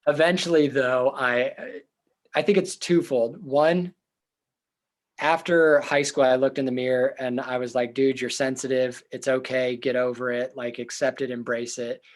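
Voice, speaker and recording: A young man with a loud, earnest voice answers interview questions. He is a therapist who thinks out loud, circling back with fillers and restarts, and his voice sits at an ordinary middle pitch. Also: fairly even intonation, measured pace. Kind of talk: reflective casual interview talk.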